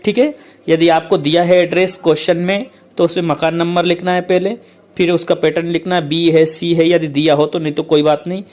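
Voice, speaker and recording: Male tutor with a slightly high voice, patient and repetitive. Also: little pitch movement, darkish tone. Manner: repetitive, keeps saying "okay, friends"; reads English lines slowly, then explains. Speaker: English teacher. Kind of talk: explaining a letter format to students.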